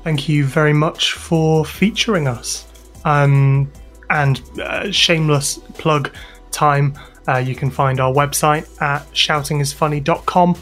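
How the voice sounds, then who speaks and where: A man's voice: on the high side, steady tone, slow pace, fairly bright tone, faint music behind. A British man giving casual interview answers as a guest, informal and hesitant as he thinks aloud. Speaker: interview guest, podcast sound designer and composer.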